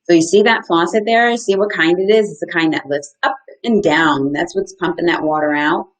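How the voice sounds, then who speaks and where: Woman, lowish pitch, husky voice, children's read-aloud lesson, simple explanations, interactive questions, encouraging teacher delivery. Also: normal speed. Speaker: outdoor educator hosting a children's story time. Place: small indoor room. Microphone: webcam at desk distance, live video stream.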